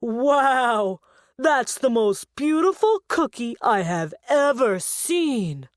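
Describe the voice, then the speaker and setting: A woman's voice: fairly high pitch, very animated, slow, no echo, studio quality. A woman voicing a friendly, generous storybook passer-by with an exclamatory, kindly manner. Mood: curious, amazed.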